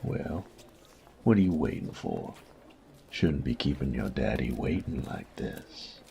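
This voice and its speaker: A man playing a cocky, sadistic bandit in a roleplay audio drama, smug and teasing, with a gravelly voice that often drops to a breathy whisper and taunting, drawn-out phrasing. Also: rumbling low, sing-song, crowd noise, exaggerated voice. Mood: mock courteous. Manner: slow, taunting, drawling, savoring pauses.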